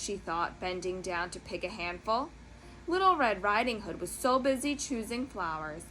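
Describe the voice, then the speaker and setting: A woman storyteller reading a children's tale in an expressive, dramatic, emphatic style. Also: mid-range voice, a little hiss or hum, low-quality recording.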